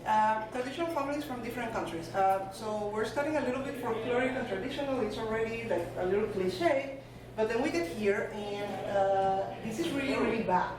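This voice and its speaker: A woman's voice: on the high side, a little fast, hardly any pauses, a crowd in the background. A woman giving a conference talk in a loose, conversational way with many fillers. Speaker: conference speaker. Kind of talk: presentation talk. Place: conference hall. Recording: echoey room from far off with noise.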